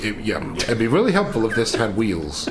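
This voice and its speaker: A man's voice: on the low side, wildly swooping pitch, moderate pace, noisy background. A man taking part in a tabletop role-playing game as a player, in casual, playful chat.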